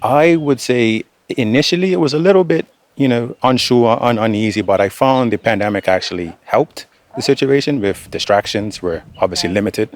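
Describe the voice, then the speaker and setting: A man's voice: medium pitch, normal speed. A young man giving informal interview answers as an air traffic controller. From Bermuda. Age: young adult.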